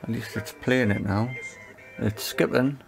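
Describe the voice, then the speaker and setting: A man's voice: mid-range voice, steady tone, a little slow, vocal fry, music in the background. A man giving hands-on repair commentary, thinking aloud in a tentative way as he works. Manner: tentative, talks to himself while working. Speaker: repair video presenter.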